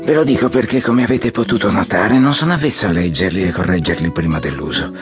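Ailing old general, low voice, imperious, romantic, theatrical radio drama, guitar music loud behind. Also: male voice, lively intonation, fast talker. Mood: weary, bitter. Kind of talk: audiobook radio drama acting.

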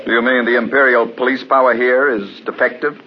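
An actor playing an earnest, polite scientist in an old-time radio drama, formal and measured. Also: male voice, medium pitch, fairly even intonation, close to an everyday voice. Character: earnest, polite visiting scientist, open-minded and inquisitive. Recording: dull, noisy old radio recording.

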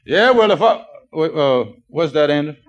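An actor playing an excitable small-time pal in an old radio comedy, with a strained, creaky voice. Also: male voice, fairly high pitch, normal speed, dull audio, close to an everyday voice. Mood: hurried, surprised. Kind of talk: vintage radio comedy acting. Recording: old radio broadcast, audience noise behind.

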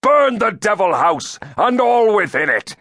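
A man voicing a demon in the body of a stern Puritan elder, loudly and pompously denouncing as commander and accuser. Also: fairly high pitch, animated, no echo, clean studio sound, pitched above the performer's own voice. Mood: furious, commanding. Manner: loud, slow, pompous denunciation.

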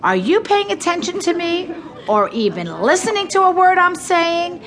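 A woman's voice: very high voice, sing-song, normal speed, fairly bright tone, full character voice. An actress playing a pushy, gushing pageant mother who talks to her young daughter with breathless, exaggerated emphasis in an audio drama. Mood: coaxing, insistent.

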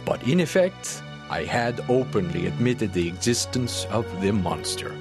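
A man's voice: on the low side, sing-song, unhurried, music in the background. A man playing an eager French professor who narrates his sea adventure in a formal, theatrical audiobook drama style. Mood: measured narration. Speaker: narrator and lead actor.

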